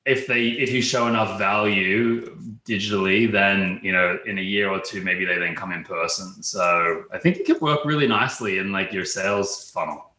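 Australian man, casual interviewee, crisp bright edge, roomy echo. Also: deep voice, normal speed. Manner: informal, frequent "like" and "kind of". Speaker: interview guest, marketing executive. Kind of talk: casual conversation. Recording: echoey room.

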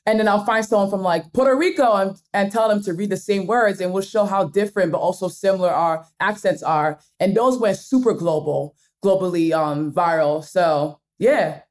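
A young woman from Nigerian American roots, a guest content creator, telling stories in a casual, energetic chat with frequent giggles. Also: medium pitch, normal speed, few pauses. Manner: energetic storyteller, animated, giggly.

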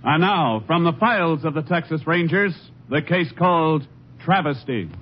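A man announcing a radio drama in a formal, declaiming 1950s style, his voice sitting high. Loud theme music plays under his voice, and the recording is dull and old. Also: animated, normal speed. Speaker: show announcer.

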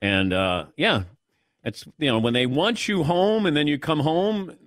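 Lively middle-aged radio host. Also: male voice, on the high side, measured pace, no echo, studio quality. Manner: relaxed, self-deprecating storytelling. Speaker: radio sports talk show host. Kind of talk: casual radio talk with callers and crew.

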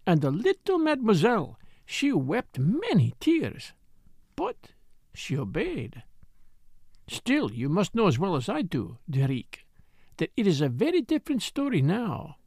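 A man's voice: high-pitched, huge pitch swings, unhurried, darkish tone, slightly rough, no room sound, studio quality, full character voice. A man reading a storybook, voicing a scheming, teasing Parisian woman dancer with a French accent and a purring, insinuating manner. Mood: knowing, gossiping.

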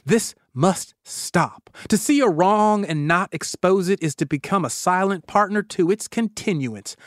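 A man voicing a solemn political candidate, making a slow, formal declaration. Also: sits high, lively intonation, dry recording, studio quality.

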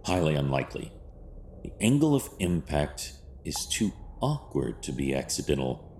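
An actor playing a grim, stoic masked vigilante detective in an audio drama, speaking in clipped, formal, deadpan phrases. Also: male voice, very deep voice, normal speed, slight background noise, full character voice. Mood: analytical, stoic.

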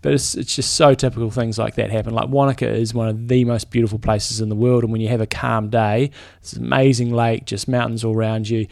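A man's voice: medium pitch, level tone, a little fast, creaky, few pauses, darkish tone, dry recording, clean studio sound. A man with a New Zealand accent, a triathlon coach co-hosting a podcast, easygoing and rambling in casual chat. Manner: easygoing, rambling, restarts his sentences.

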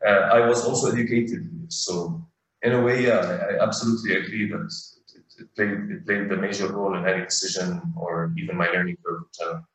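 A man's voice: deep voice, steady tone, fast talker, heavy reverb, recorded from a distance, a little hiss or hum, rough recording. A young man from Abu Dhabi, a guest in a casual talk, thinking aloud and repeating words as he goes. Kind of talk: casual conversational interview.

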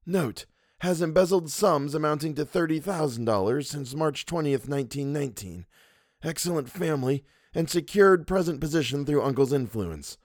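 A man's voice: fairly high pitch, darkish tone, dry recording, studio quality, voice pushed up. A man voicing a sinister, crippled old blackmailer in a thin, raised, cold voice.

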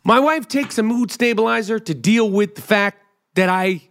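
High-voiced man rambling on a podcast. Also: moderate pace, creaky, a bit bright. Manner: rambling, profane, self-mocking. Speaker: podcast host. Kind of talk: casual comedic monologue.

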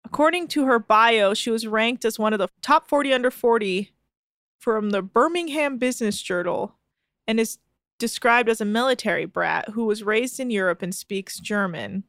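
A woman's voice: on the high side, moderate pace, fairly bright tone, dry recording, clean studio sound. A woman co-hosting a casual podcast chat, reading posts aloud with amused disbelief. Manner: amused, incredulous, laughing. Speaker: podcast co-host.

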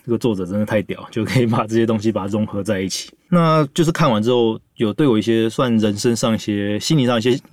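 A man's voice: fairly low pitch, quick pace, few pauses, no room sound, clean studio sound. A man in his thirties with a Taiwanese Mandarin accent, chatting casually as a podcast host. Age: 30s. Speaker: podcast host and script editor.

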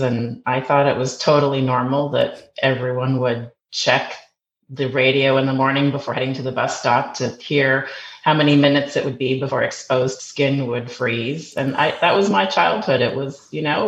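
A Canadian woman, a podcast guest, telling stories about her childhood in a chatty way and restarting sentences as she goes. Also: rumbling low. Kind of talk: conversational interview. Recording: roomy, dull remote recording with faint noise.

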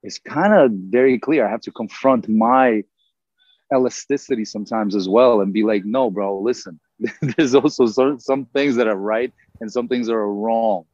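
A man's voice: mid-range voice, moderate pace, darkish tone, dry recording, dull audio. A middle-aged man from Ukraine, a native Russian speaker, talking earnestly as an interview guest and rambling with lots of "you know" fillers. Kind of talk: casual interview conversation.

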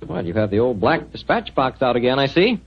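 A man's voice: mid-range voice, sing-song, a little fast, slightly rough. A man working as a radio announcer and host in a scripted 1940s broadcast style. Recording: very noisy, muffled 1940s radio recording.

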